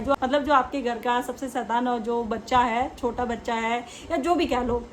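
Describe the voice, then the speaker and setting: High-voiced woman giving casual commentary. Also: slight background noise. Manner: conversational, explanatory, mixing in English words. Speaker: reaction video host. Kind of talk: casual opinion commentary on online drama.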